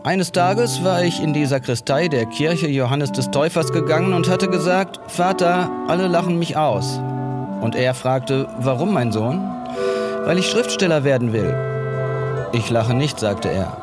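An actor playing an earnest writer who recalls a boyhood talk in scripted radio drama dialogue. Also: male voice, on the high side, expressive, unhurried. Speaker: narrator. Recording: loud music behind the voice.

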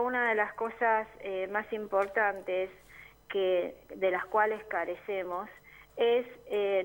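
A woman's voice: medium pitch, low-quality recording. Earnest Argentine woman explaining on radio. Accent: Argentine Spanish. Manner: earnest, explanatory, with frequent fillers. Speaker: neighborhood assembly member, guest. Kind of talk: radio interview. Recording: community radio studio.

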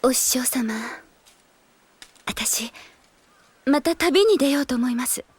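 A woman playing a reserved, world-weary young wanderer, an elder sister, in a Japanese audio drama, soft and restrained. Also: high-pitched, expressive, slow, breathy edge, exaggerated voice. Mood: wistful.

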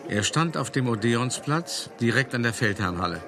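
Urbane, deliberate art dealer, confiding his past. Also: mid-range voice, animated, vocal fry, over music. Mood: reflective. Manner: deliberate, confiding, addressing the listener directly. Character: calculating male art dealer, urbane, self-justifying, recounting his past. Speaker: narrator of a radio play. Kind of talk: first-person dramatic monologue in a German radio play.

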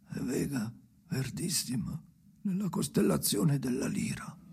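Slow, gravelly, breathy haunted old man. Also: medium pitch, warm tone, full character voice. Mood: hushed, haunted. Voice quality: hoarse, breathy, gravelly, often near a whisper. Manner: halting, fragmented, incantatory repetition. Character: brooding old man, halting, haunted storyteller. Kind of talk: slow dramatic radio play monologue.

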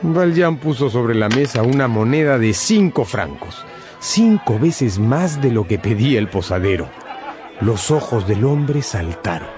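A man's voice: medium pitch, big pitch swings, measured pace. A man narrating a scripted radio drama as a dramatic storyteller. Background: background music.

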